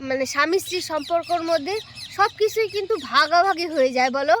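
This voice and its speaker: A woman's voice: very high voice, fast, fairly bright tone. A woman doing domestic comedy banter as a nagging, argumentative wife. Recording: noisy home-video sound.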